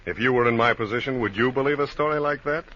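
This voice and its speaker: An actor playing a skeptical, probing detective in a 1950s radio drama. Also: male voice, medium pitch, quick pace, pushed and bright, lightly acted. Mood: skeptical, dry. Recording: muffled, faintly noisy old radio recording.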